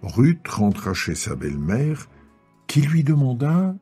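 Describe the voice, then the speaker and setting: A man narrating dramatised scripture in a solemn, measured storytelling delivery. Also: medium pitch, sing-song, dark tone, husky, faint music behind.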